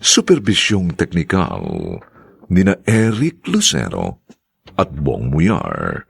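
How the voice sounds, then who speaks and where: A man's voice: low voice, huge pitch swings, gravelly, breathy edge. A man announcing a radio drama in a formal, polite manner and drawing his words out. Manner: slow, polite, drawn-out delivery.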